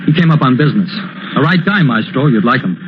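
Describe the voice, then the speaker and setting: An actor playing a tough but earnest young boxer in a 1940s radio drama, weary and plainspoken. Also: male voice, mid-range voice, animated, fast talker, natural voice. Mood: earnest, wistful. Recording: dull, hissy old radio recording.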